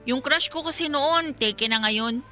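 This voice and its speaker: A woman's voice: high-pitched, unhurried, bright, forceful tone, soft background music. A woman playing a single young woman secretly pining for her friend in a Filipino radio drama, speaking in a casual, teasing, colloquial way. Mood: wistful. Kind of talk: Tagalog radio drama dialogue.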